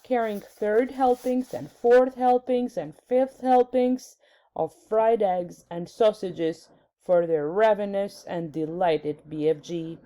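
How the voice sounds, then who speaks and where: Slow, mellow woman storybook reader. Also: mid-range voice. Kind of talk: children's storybook narration.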